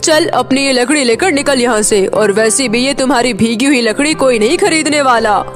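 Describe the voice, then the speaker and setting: A woman's voice: high voice, normal speed, music in the background, natural voice. A woman voicing a brusque, heartless, scornful shopkeeper in a cartoon story. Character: gruff, heartless shopkeeper dismissing the poor. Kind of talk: Hindi cartoon moral story.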